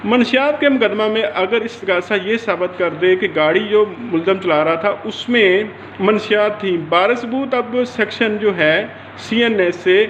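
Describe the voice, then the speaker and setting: A man's voice: high-pitched, measured pace, hardly any pauses, fairly bright tone. A man from Pakistan with a Pakistani accent giving an explanatory law lecture, restating his points in a didactic way and mixing in English legal terms. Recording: roomy echo, dull lo-fi sound, faint noise.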